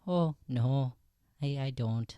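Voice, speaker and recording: Bashful young Irish lad for improv radio comedy, played by a man: very smooth tone, halting delivery, dry close sound. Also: mid-range voice, dark tone, dry recording, lightly acted. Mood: nervous, shy. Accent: Irish, put on. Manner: hesitant, stammering. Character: timid, socially anxious young Irish schoolboy. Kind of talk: improvised old-time radio comedy play.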